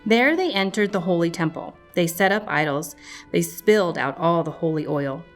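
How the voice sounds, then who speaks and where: Woman, children's storybook narrator, slightly low voice, scripted reading, faint music behind. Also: moderate pace.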